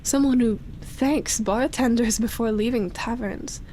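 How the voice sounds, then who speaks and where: A woman voicing an ancient, lonely vampire in love, speaking softly and intimately with sighs, whispered words and lingering pauses, as in roleplay ASMR. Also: on the high side, a little hiss or hum, lightly acted, rough recording. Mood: tender, teasing. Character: lonely, obsessive female vampire, ancient, tender and teasing.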